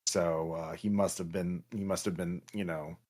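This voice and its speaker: A man narrating as game master of a tabletop roleplaying game, in a halting, improvised way. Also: sits low, steady tone, darkish tone.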